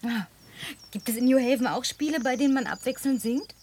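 A woman's voice: sits high, a little fast, darkish tone, a little hiss or hum, lightly acted. An actress playing a teasing, worldly American city woman in a naturalistic German radio play, speaking in a playful, probing, colloquial way. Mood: coy.

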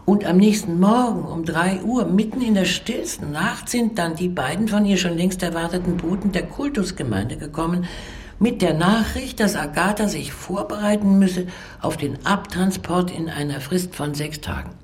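An actress playing an elderly woman who recalls wartime losses in a measured, grave radio drama narration with long pauses. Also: on the low side, animated, darkish tone, natural voice. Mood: pained, urgent. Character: elderly Czech woman Vera recalling her friend's wartime deportation.

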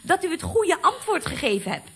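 A woman's voice: very high-pitched, big pitch swings, normal speed, fairly bright tone, heavily acted. A woman playing a chatty, knowing office secretary in a radio comedy, confiding and mock-soothing. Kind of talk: 1970s Dutch radio comedy acting.

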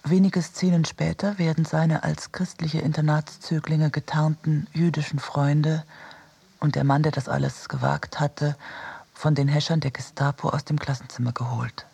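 A reflective narrator looking back in a literary radio piece. The voice sits fairly high and is strikingly husky, with a gravelly fry. Delivery is measured and quiet. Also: male voice, level tone. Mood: sober. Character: reflective narrator recalling childhood, cinema and wartime loss. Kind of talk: literary first-person narration for radio drama.

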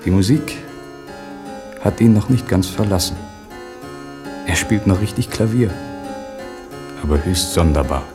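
An actor playing an earnest acquaintance giving sober, measured testimony in a radio drama, in a husky voice. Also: male voice, deep voice, lively intonation, over music. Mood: regretful, earnest. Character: earnest male acquaintance recalling a mad poet.

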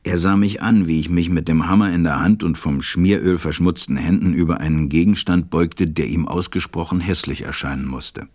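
Deep-voiced, reflective stranded pilot. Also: male voice, darkish tone, no room sound, studio quality. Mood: tender, reflective. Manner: measured, reflective storytelling. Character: grown male stranded pilot, reflective, preoccupied narrator. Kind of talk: German radio play narration.

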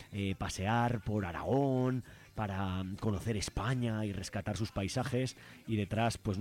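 Low-pitched Spanish man on radio. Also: darkish tone. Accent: Spain. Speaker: radio show host. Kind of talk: radio commentary and announcements.

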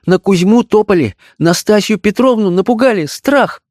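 A man voicing an excitable, frightened housemaid in an audiobook story, speaking in eager alarm. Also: high-pitched, normal speed, dry recording, clean studio sound, pitched above the performer's own voice, lightly acted. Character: excitable, frightened young housemaid, whispering gossip.

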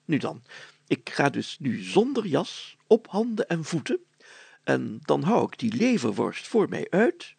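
A man's voice: on the high side, big pitch swings, measured pace, creaky, darkish tone, breathy edge, no echo, voice pushed up, exaggerated voice. A man voicing a pompous, gullible, greedy older village mayor in a storybook reading, chatty and full of himself. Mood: blustery.

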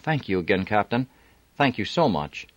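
A man reading a novel aloud, voicing a quick-witted woman who talks gently and coolly while playing a part. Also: fairly low pitch, big pitch swings, dry recording, muffled recording, pitched above the performer's own voice. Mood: gentle. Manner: gentle, soothing. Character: woman posing as sister, sly and calm.

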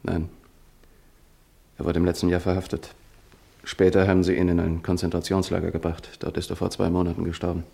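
An actor playing a wounded young fugitive in a radio play, speaking in a strained, hushed way. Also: male voice, deep voice, completely flat delivery, a little fast, vocal fry, breathy edge, full character voice. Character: Martin Henrizi, wounded young fugitive in pain.

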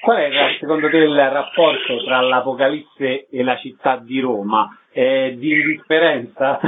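A man's voice: medium pitch, steady tone, measured pace. A man with an Italian accent working as a radio interviewer, putting prepared questions to a guest. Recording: dull, clearly noisy line with clicks.

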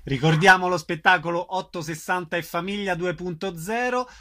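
Male radio host with a fairly high voice, giving short interview prompts and announcements. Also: moderate pace, fairly bright tone.

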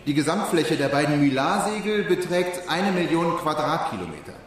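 A methodical engineer presenting in a radio play. His voice is rather high for a man and precise, heard from a distance in a very echoey hall. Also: sing-song, moderate pace. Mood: explanatory. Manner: precise, explanatory. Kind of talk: radio drama acting. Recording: very echoey hall, far from mic.

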